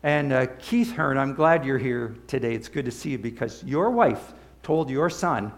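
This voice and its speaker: A man's voice: medium pitch, animated, moderate pace. A man speaking as a pastor in a conversational sermon, checking in with his listeners. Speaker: pastor leading a church service.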